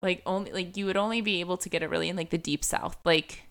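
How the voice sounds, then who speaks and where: A woman's voice: on the low side, fairly even intonation, fast, fairly bright tone. A young woman hosting a true-crime podcast, telling a case in a casual chatty way, full of "like" and "um" fillers. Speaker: podcast host.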